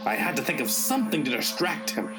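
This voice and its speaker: A man's voice: a little high, big pitch swings, fairly quick. A man playing an earnest hero who narrates a comic radio play with mock-serious suspense. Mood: scheming suspense. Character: earnest male hero narrating a final showdown. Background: music.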